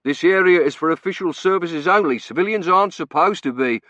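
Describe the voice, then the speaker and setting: A man's voice: a little high, a little fast, pushed and bright, dry recording, dull audio, pitched above the performer's own voice. A man voicing a stout, stern, pompous air-raid warden in an audiobook, barking officiously.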